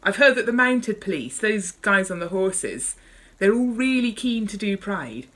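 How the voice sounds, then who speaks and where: A woman's voice: mid-range voice, animated, unhurried, a bit bright, voice pushed up. A woman reading out the lines of a chatty, gossipy male paramedic in an amused, conversational way from a memoir. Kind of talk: author reading memoir dialogue aloud.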